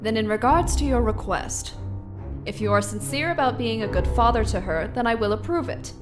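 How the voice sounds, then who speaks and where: Woman playing a haughty, higher-voiced princess ruler. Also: brisk, over music, natural voice. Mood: firm, warning. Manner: formal, biting delivery. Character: composed, haughty young princess ruler, firm and sharp-tongued. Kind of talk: theatrical amateur comic dub acting.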